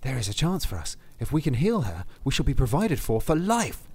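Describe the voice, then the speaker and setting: A man's voice: medium pitch, very animated, fairly quick, a little mellow, slightly rough. A man voicing a cunning, greedy soldier in a storybook reading, raising his voice into a wheedling, pleading tone. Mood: eager. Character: cunning, greedy, quick-talking old soldier.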